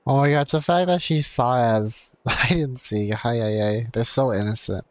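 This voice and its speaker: A woman's voice: a bass voice, normal speed. A woman game streamer giving casual, informal live reactions in short interjections.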